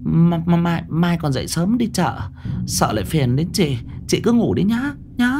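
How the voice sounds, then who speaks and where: A man's voice: on the high side, big pitch swings, moderate pace, creaky, music in the background, pitched above the performer's own voice. A man voicing a terrified middle-aged village wife who stammers in panic. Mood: panicked.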